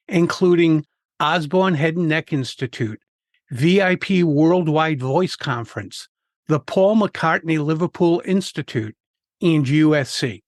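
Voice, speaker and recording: A man hosting a public speaking podcast, reading a measured, clear introduction and asking his guest questions. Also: fairly high pitch, no room sound, clean studio sound. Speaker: podcast host. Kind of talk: scripted introduction and interview questions.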